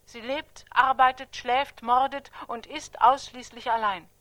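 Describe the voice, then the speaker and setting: A stern woman chronicler in a radio play, laying out accusations in measured, emphatic statements. Her voice is high and sharp, recorded very dry and close. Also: fairly even intonation, dry recording. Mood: cold reporting. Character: stern, accusing female chronicler of a terrorist's life. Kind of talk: stylized radio drama recitation.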